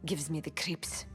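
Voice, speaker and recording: Guarded, low-voiced Greek oracle. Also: female voice, fairly bright tone, heavily acted. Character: guarded, troubled ancient Greek oracle woman. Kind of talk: dramatic video game acting.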